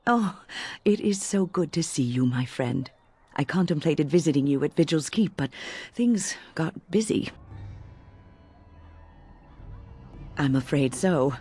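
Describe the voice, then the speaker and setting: An actress playing an older, genteel female mage in a fantasy game, speaking formally and with measured kindness. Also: deep voice, animated, mellow, slightly rough. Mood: warm, measured. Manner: formal, measured, kindly diction. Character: elderly genteel female mage, wise and cautious. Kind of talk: fantasy game dialogue acting.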